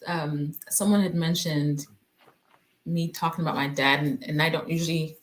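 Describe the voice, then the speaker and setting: Woman, very low voice, reflective and hesitant, from Nigeria, interview guest. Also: fairly even intonation, normal speed. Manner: reflective, hesitant, with frequent fillers. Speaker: physician guest. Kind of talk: conversational interview.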